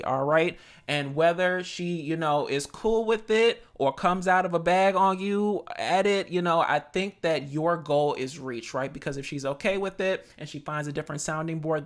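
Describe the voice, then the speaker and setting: A man's voice: on the high side, fairly bright tone. A man hosting a podcast, giving casual advice with lots of "you know" and "right?" asides. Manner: conversational, coaching, many "you know" and "right?" tags.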